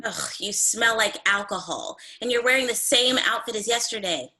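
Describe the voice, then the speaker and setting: A woman's voice: on the high side, normal speed, pushed and bright. A woman playing a blunt, exasperated thirty-year-old receptionist and ex-girlfriend in a comedy table read.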